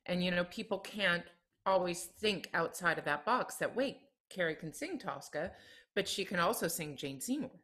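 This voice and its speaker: A woman co-hosts a chat on a video call. Her voice sits low but comes across bright and forceful, and her delivery is brassy and emphatic, with a playful edge. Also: expressive, normal speed. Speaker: co-host.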